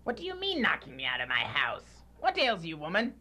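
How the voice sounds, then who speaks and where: A man playing a miserly, grumpy old man in a student radio drama, scornful, gruff and easily spooked. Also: fairly high pitch, wildly swooping pitch, fairly quick, pushed and bright, exaggerated voice. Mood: irritated, gruff. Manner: gruff, irritable, declamatory.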